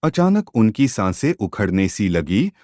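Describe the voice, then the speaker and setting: A man tells a scripted eerie tale in a fairly low voice that rises and falls with plenty of expression. His delivery is very slow, measured and dramatic, as a storyteller's would be. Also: big pitch swings, mellow, no room sound, clean studio sound. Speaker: storyteller, narrator. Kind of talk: scripted ghost-story narration.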